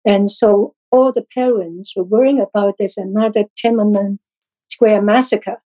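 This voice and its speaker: A woman whose first language is Chinese, talking as an interview guest, halting and restarting with many fillers. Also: medium pitch, fairly even intonation, warm tone, highs cut off. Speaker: guest author. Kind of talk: casual interview talk.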